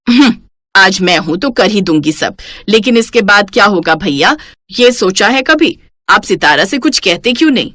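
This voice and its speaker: A woman voicing a responsible, middle-aged elder sister-in-law in a cartoon story, brisk, stern and admonishing. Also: mid-range voice, expressive, a bit bright, no echo. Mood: sceptical. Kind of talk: Hindi cartoon moral story acting.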